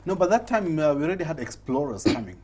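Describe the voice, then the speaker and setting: A man's voice: a little high, big pitch swings, quick pace, background noise. A man hosting a studio talk show, playful and teasing as he questions his guests.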